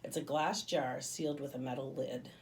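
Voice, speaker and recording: A woman reading a children's story aloud as a teacher would, in an expressive narrating style. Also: very deep voice, normal speed, recorded from a distance.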